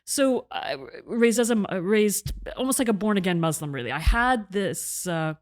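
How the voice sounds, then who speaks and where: A woman's voice: medium pitch, expressive, normal speed. A middle-aged woman, an author and journalist, speaking articulately as an interview guest and explaining her ideas at length. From half Egyptian, half British; raised in Canada. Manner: articulate, explanatory, with occasional restarts. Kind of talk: interview conversation.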